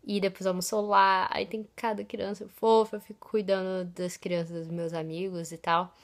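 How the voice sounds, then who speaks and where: A woman's voice: mid-range voice, flat delivery, normal speed. A young woman vlogging casually from her bedroom, chatty and laughing often, a bit stuffy from a cold. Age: young adult. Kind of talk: casual vlog chat. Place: small bedroom at home. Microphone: phone camera at arm's length.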